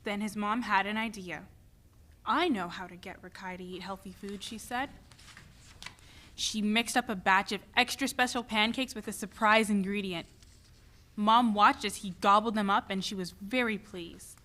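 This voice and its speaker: A woman's voice: mid-range voice, fairly even intonation, normal speed, pushed and bright, close to an everyday voice. A woman playing a stressed, driven mother and restaurant owner in a dramatic play reading. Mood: storytelling.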